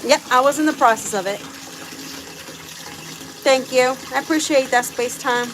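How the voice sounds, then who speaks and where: Puerto rican woman chatting on a phone livestream outside, bit high voice, lots of background noise. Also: moderate pace. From Puerto Rico. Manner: chatty, talks to viewers and animals. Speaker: livestream host. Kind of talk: casual live chat while doing farm chores. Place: outdoors in a yard with animals.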